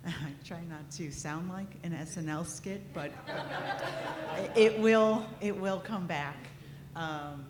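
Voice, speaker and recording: A woman's voice: lowish voice, slow. A woman in her fifties with a strong Midwestern accent, introducing herself chattily as a financial aid trainer. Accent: Midwestern American. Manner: chatty, self-deprecating. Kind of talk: self-introduction at a conference. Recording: echoey conference hall with noise.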